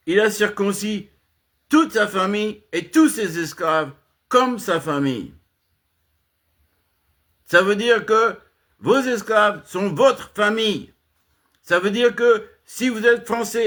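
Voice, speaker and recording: A man delivering an impassioned spoken rant to his channel, swinging from emphatic high outbursts to low muttered asides, with frequent restarts. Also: a bit bright. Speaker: channel host giving a monologue.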